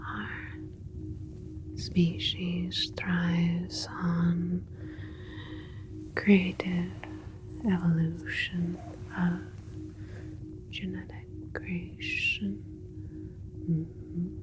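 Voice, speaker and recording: A woman playing a soothing, clinical alien scientist in an ASMR roleplay, whispering with slow, deliberate, precise wording. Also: fairly low pitch, monotone, music in the background, poor audio. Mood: calm, clinical.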